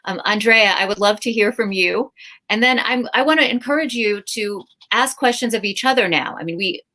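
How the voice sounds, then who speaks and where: A woman's voice: mid-range voice, moderate pace, pushed and bright. A woman moderating a panel discussion, earnest and encouraging as she invites the speakers to talk.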